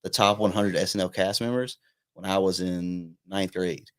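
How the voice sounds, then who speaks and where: A man's voice: low-pitched, fairly even intonation, normal speed, fairly bright tone, dry recording. A man in his twenties from South Carolina, a guest comedian telling self-deprecating stories with frequent laughs in a casual podcast chat. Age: 20s.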